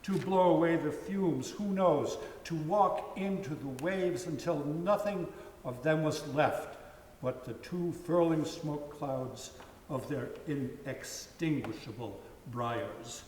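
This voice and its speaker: Male narrator, slow and measured, slightly high, roomy church reverb. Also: darkish tone, a little hiss or hum. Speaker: reader. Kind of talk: dramatic reading of a story. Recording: echoey hall from far off.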